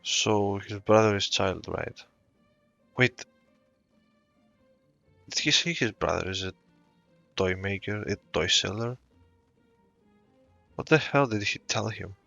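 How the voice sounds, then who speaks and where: Slow, creaky man doing game commentary. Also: lowish voice, pushed and bright, dry recording. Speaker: gamer reacting while playing. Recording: dull close mic over game music.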